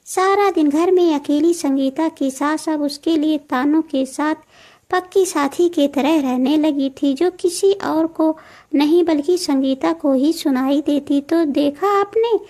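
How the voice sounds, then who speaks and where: A woman's voice: sits high, a little slow, warm tone. A woman reading scripted narration for a Hindi cartoon story.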